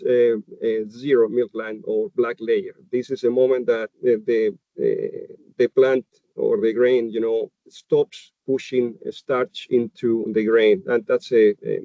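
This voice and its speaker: A man's voice: medium pitch, no room sound, highs cut off. A man from Chile with a Chilean Spanish accent, a native Spanish speaker giving a dairy nutrition webinar, explaining steadily and checking in with "okay?" and "right?". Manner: explanatory, heavy on "you know" and tag questions like "okay?" and "right?". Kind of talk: webinar presentation.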